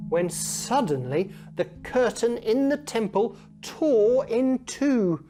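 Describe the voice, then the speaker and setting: A woman's voice: fairly low pitch, a little mellow. A woman reading a children's Bible story from a script in a dramatic way. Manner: dramatic, acts out the quoted speech. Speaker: storybook reader. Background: music.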